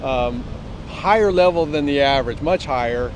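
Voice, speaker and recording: A man explaining things conversationally as an interview guest. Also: normal speed. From Southern California, USA. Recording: clear outdoor rumble and wind noise.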